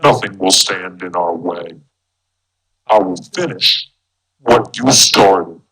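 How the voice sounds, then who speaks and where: A man's voice: fairly bright tone, full character voice, low-quality recording. A man voicing a menacing, brooding masked sci-fi villain through a voice changer played from a small speaker. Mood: cold menace. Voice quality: husky, electronically processed. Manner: slow, deliberate, menacing. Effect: voice changer, deepened mask filter through a small speaker.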